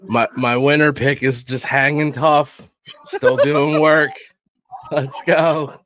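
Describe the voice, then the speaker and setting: A man's voice: on the high side, little pitch movement, slow pace, a bit bright, a little hiss or hum. A man co-hosting a wrestling podcast, enthusiastic and swearing a lot, calling everyone dude. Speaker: podcast co-host. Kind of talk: casual live banter watching wrestling.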